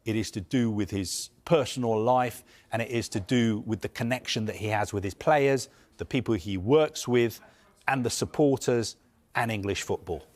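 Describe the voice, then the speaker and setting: A man's voice: medium pitch, moderate pace, darkish tone. Emphatic male football reporter. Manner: earnest, emphatic, builds points with lists. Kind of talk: live news analysis.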